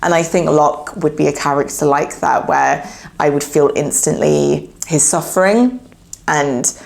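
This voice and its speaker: A young woman talks casually to her camera in a low voice with a gravelly, creaky edge. She sounds a little nasal from a cold. She chats in a loose, friendly way, leaning on fillers like "yeah" and "like.". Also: a little mellow. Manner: chatty, repeats filler words like yeah and like. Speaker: therapist YouTuber.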